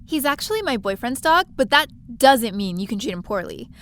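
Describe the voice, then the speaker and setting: A woman's voice: fairly high pitch, sing-song, brisk, a bit bright. An actress playing a chatty, curious young woman stowaway who narrates and talks through her space adventure in an audio drama. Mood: breezy, insistent. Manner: chatty, breezy, eager. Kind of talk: first-person audio drama narration and dialogue.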